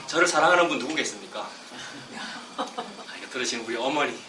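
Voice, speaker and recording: A middle-aged man with a cold preaching a sermon, chatting casually between slow scripture readings. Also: mid-range voice, lively intonation. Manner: conversational, self-deprecating asides between slow scripture reading. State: has a cold, coughing. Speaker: pastor. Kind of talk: expository sermon. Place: church sanctuary. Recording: roomy, distant mic with background noise.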